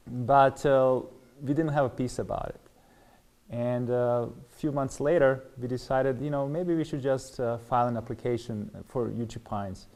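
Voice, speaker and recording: Male interview guest, middle-aged, Serbian accent, dark relaxed mid-range voice, hesitant storytelling. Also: mid-range voice. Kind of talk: personal testimony in interview.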